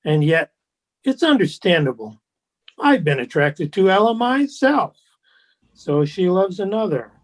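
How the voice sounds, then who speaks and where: An actor in a radio play playing a suave, insincere gentleman, teasing and smug, with affected, theatrical politeness. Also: sits high, sing-song, measured pace, pauses often, full character voice. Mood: smug, mock sympathy.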